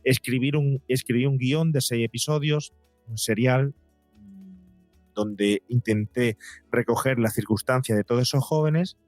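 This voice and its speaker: A man's voice: medium pitch, a little slow, a little mellow, dry recording, faint music behind. Man from explaining, dry close sound. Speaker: psychologist and podcaster, interview guest. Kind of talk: explanatory interview talk. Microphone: close podcast mic, seated at a table.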